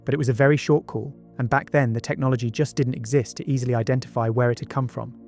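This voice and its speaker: A man's voice: mid-range voice, fairly even intonation, quick pace, a little mellow. A man narrating a true-crime documentary from a script, with conversational asides. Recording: music bed behind the voice. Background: background music.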